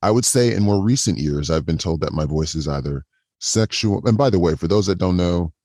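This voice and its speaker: A man with a voice modulated lower, chatting as a podcast guest in a calm, inviting way, often restarting his sentences. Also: a little fast, no echo, studio quality. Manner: chatty, with frequent restarts and repetitions. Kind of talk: casual interview chat.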